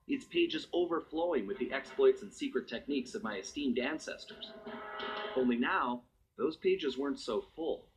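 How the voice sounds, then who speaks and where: An American man voicing a suave, confident master thief, narrating a video game cutscene in a smooth, wry, unhurried storytelling manner. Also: medium pitch, lightly acted, low-quality recording. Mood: concerned. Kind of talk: scripted first-person cutscene narration. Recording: music under the voice.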